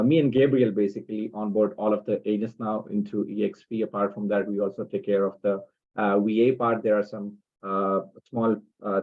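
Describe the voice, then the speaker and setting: A man with a fairly low voice lists his work tasks in a matter-of-fact way. He comes through a tinny, phone-like line. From Pakistan. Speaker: virtual assistant. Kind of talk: self-introduction.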